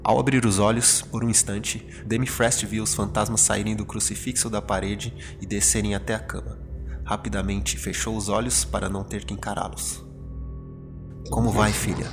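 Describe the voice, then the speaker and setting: Horror podcast narrator: Brazilian man, low register, dramatic scripted storytelling over prominent music. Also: level tone, moderate pace.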